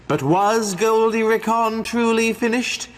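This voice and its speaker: A man's voice: high voice, measured pace. A man narrating a horror comic in a grave, dramatic, scripted storytelling style. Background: musical score.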